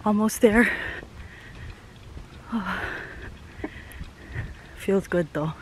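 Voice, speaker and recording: A woman's voice: medium pitch, very slow, a little hiss or hum. A woman in her thirties narrating her hike for viewers in a casual vlog, breathing hard and sighing as she climbs an outdoor trail. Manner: breathy, sighing, tired while hiking uphill. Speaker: vlogger. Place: outdoor coastal hiking trail. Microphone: handheld camera, held by the vlogger.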